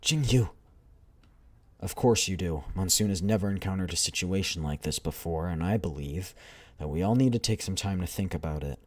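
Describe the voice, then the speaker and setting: A man with a deep voice reading the lines of a calm, composed female squad leader in a measured, formal way.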